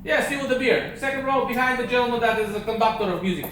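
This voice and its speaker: A man works the crowd at a comedy club, firing off teasing questions and jokes, with a high voice. The sound is very echoey, the mic is some distance off, and heavy room noise sits under everything. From Romania. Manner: quick teasing banter, frequent rhetorical "okay?". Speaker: stand-up comedian doing crowd work. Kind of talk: stand-up crowd work.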